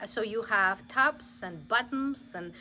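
Bright, gushing young woman interviewer. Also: a little high, lively intonation, slow pace, a little hiss or hum. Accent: Southern American. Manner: enthusiastic, gushing praise. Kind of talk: casual live store tour interview. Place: large indoor clothing boutique. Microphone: handheld phone camera.

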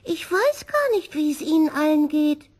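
A small, gentle witch's kitten from a children's storybook, played by a woman. He speaks in a raised, high voice with an innocent, earnest manner. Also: sing-song, moderate pace. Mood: friendly, earnest.

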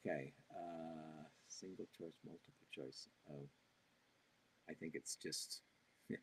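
A man's voice: a bass voice, steady tone, slow, noisy background. An older man speaking as a keynote presenter in a live online Q&A, reading audience questions aloud and answering off the cuff with fillers and restarts. He talks through a wired earbud mic on a video call from a small home office. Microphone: wired earbud headset on a video call.

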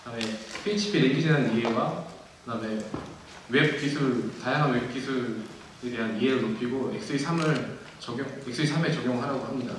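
A man's voice: mid-range voice, distant mic, a little hiss or hum. Man giving a keynote in an echoey hall. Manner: measured, many fillers and restarts. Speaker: conference keynote speaker. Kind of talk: technical conference talk. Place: large dark auditorium.

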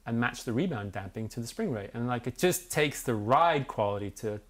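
A man's voice: medium pitch, a little fast. A British man in his thirties, a bike magazine reviewer, chatting eagerly in an office studio with lots of "like" and "basically". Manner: enthusiastic, animated, many "like" and "basically" fillers. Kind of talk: casual review chat. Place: small office studio indoors.

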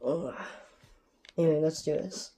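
A young man from Canada giving rambling, casual gameplay commentary with a sore, strained throat, recorded on a phone filming a TV in a dark room at home. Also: fairly high pitch, fairly even intonation, a little slow, a little hiss or hum. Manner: rambling, keeps asking "alright?". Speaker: gaming YouTuber.

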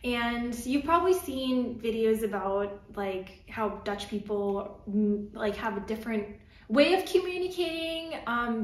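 A woman's voice: a little high, roomy sound. A young woman from Toronto with a Canadian accent, chatting casually to the camera from her living-room sofa with lots of "like" and "um". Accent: Canadian English. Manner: chatty, lots of "like" and "um", gestures while talking. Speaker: vlogger. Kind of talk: casual vlog talking to camera.

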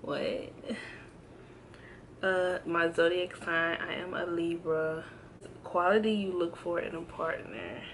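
Young woman in her twenties, slow unhurried delivery, lowish voice, hesitant and chatty, faint room noise. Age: 20s. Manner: relaxed, hesitant, many ums and restarts. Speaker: vlogger. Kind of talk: casual tag video chat. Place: indoors at home, in front of a backdrop. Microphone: camera framed close, seated.

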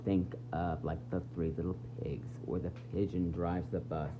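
A woman's voice: a bass voice, steady tone, normal speed, highs cut off, a little hiss or hum. A woman teacher reading a children's nonfiction book aloud, expressively explaining it and putting questions to young listeners.

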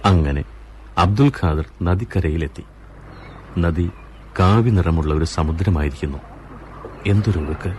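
A man narrating a radio drama in a measured storytelling manner. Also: low voice, darkish tone, slight background noise.

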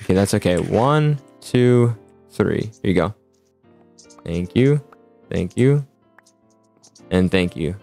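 A young man with a fairly low voice hosts a casual, improvised game roleplay. He rambles, repeats phrases and stumbles into his sentences. Soft music plays underneath. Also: animated, takes it slowly, vocal fry, pauses often. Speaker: host of a gaming roleplay. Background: background music.

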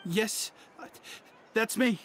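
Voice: mid-range voice, slow pace, a bit bright, a little hiss or hum. An actor playing a nervous, cowed young male underling in a video game, hesitant and stammering. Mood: nervous, defensive. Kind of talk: video game character acting.

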